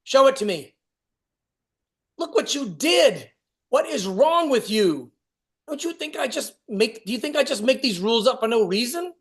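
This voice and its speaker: A man's voice: extremely high pitch, sing-song, full character voice. Guy acting strict angry dad in a play reading, scolding, voice jumps all over. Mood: exasperated, angry. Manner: hectoring, rapid-fire questions. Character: stern, short-tempered father scolding his young son. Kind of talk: virtual staged play reading.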